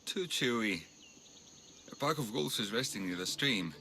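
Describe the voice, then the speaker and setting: Kindly low-voiced Greek village physician. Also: male voice, expressive, normal speed, over music. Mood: businesslike. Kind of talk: video game dialogue acting.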